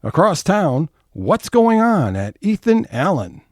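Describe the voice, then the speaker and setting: A man's voice: high-pitched, big pitch swings, takes it slowly, dry recording, clean studio sound, full character voice. A man narrating scene changes in a scripted comic audio drama.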